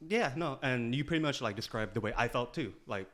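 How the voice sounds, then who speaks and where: A young man co-hosting a podcast in casual chat, agreeable and quick, full of fillers like "like" and "you know". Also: medium pitch, lively intonation, a bit bright. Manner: rapid, filler-heavy, agreeable. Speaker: podcast co-host.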